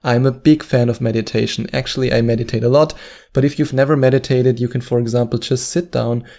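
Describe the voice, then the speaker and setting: A man's voice: medium pitch, steady tone, brisk, creaky, warm tone. A man with a German accent, hosting a weight-loss advice video and repeating his key points with earnest emphasis. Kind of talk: direct-to-camera advice talk.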